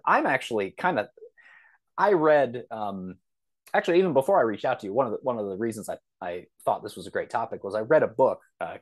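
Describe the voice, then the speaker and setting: A man speaking as a podcast guest, casual and thoughtful, exploring ideas tentatively and often rephrasing. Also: lowish voice. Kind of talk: casual, thoughtful interview talk.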